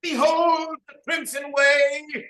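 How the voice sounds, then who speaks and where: A man's voice: little pitch movement, takes it slowly, bright, forceful tone, roomy sound, exaggerated voice. An actor playing a domineering, grandiose shepherd who commands his brother, in a staged play reading. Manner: commanding, theatrical, booming declarations. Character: domineering self-styled master shepherd, grandiose man.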